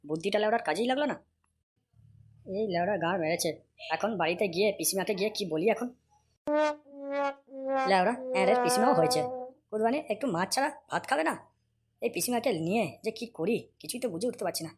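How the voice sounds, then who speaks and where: A woman's voice: mid-range voice, expressive, fast, long pauses, breathy edge. A woman voicing a stingy young man in a comedy cartoon who frets and grumbles with lots of swearing. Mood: grumbling. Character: stingy young man, fretful and short-tempered. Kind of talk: Bengali comedy cartoon dialogue.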